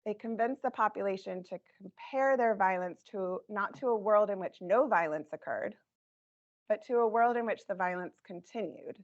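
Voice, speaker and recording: A woman presenting her research in a measured academic talk, her voice scratchy, with frequent ums. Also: mid-range voice. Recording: dull lo-fi recording.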